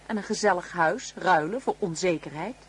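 A woman's voice: fairly low pitch, normal speed, slight background noise, natural voice. An actress playing a motherly, insistent married woman in a radio play, coaxing and teasing with little tag questions. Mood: soft, coaxing.

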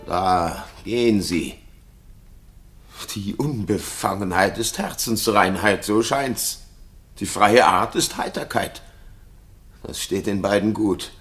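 Brooding jealous king, very deep and slow. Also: a bit bright, exaggerated voice. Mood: suspicious, agitated. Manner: theatrical, halting, brooding monologue. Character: brooding, jealous king, tormented husband and father. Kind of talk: classical German radio play acting.

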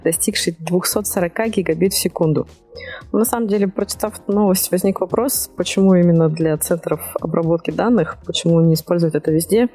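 A woman with a fairly low, soft and mellow voice hosts a technology podcast. She presents news in an explanatory manner, pausing now and then to find her phrasing. Faint music plays behind her. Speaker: podcast host. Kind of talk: presenting tech news from notes.

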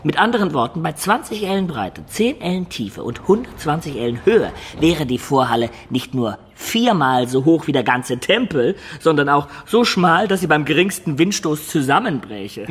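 A man's voice: a little high, very animated, moderate pace, few pauses, slightly rough. An actor playing a wry medieval adventurer who recounts his youthful exploits in a radio drama. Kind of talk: narrating storyteller in German radio drama.

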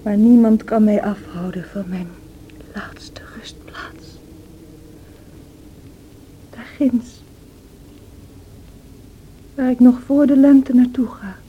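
An actress playing a feverish, wild and distraught young married woman in a radio drama, with a breathy, anguished delivery. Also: fairly high pitch, slow pace, long pauses, narrow, tinny audio. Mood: bitter, defiant. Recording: noisy old radio play recording.

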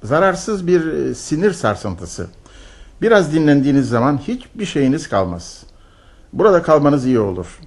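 A husky-voiced doctor in a radio play. He is reassuring and unhurried, muttering in halting phrases with a very varied melody. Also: fairly high pitch, sing-song, darkish tone. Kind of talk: Turkish radio drama acting.

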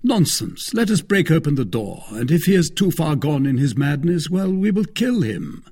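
A man voicing an angry, haughty gentleman traveller in an adventure audiobook, indignant and blustering. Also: a little high, sing-song, moderate pace, breathy edge, voice pushed up. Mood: outraged. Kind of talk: dramatised classic adventure audiobook.

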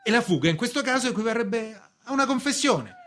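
An actor playing an evasive, composed young bank official in a radio drama, formal, measured and defensive, with a husky voice. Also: male voice, very high-pitched, lively intonation, fairly bright tone. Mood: uneasy.

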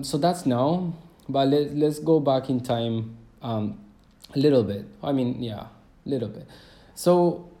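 A young man in his twenties hosts his own podcast in a loose, unscripted monologue, speaking English. His voice is mellow and warm, at an ordinary middle pitch, and he wanders through his thoughts with frequent pauses and false starts. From Argentina. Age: 20s. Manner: hesitant and rambling, full of fillers and restarts. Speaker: podcast host. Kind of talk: casual solo podcast monologue.

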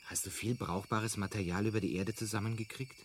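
A man's voice: on the low side, steady tone, a little fast, lightly acted. An actor playing a bewildered, easily exasperated ordinary man from Earth in a radio drama. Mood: hesitant. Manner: hesitant, then exasperated. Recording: clearly noisy ambient sound bed.

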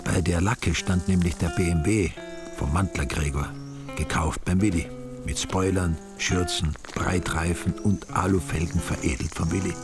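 A low-voiced male narrator of a village crime story. He tells it in a wry, dry, literary way, with music clearly behind him. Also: fairly even intonation, normal speed. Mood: wry, measured. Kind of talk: scripted radio drama narration.

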